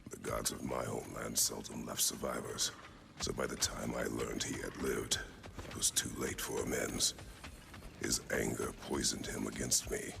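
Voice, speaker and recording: A man playing a stoic, battle-worn Spartan warrior father in a video game, speaking tersely and formally in a deep, gravelly voice. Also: steady tone, background noise, heavily acted. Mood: sorrowful. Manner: terse, formal, measured, weighty phrasing. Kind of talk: cinematic video game acting.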